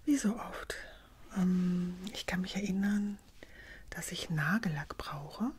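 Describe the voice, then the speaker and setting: A middle-aged woman in a gentle, unhurried whispered ASMR roleplay, speaking softly and breathily close to the microphone. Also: on the low side, husky. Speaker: ASMR creator playing a customer in a drugstore roleplay.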